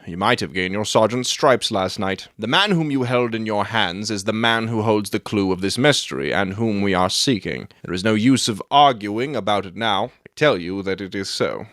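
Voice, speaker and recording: A man's voice: on the low side, fairly bright tone, no room sound, clean studio sound. A man playing a brilliant, impatient detective in a dramatized audiobook, questioning in a clipped, assured and condescending manner. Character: brilliant male detective, brisk, commanding, superior, impatient.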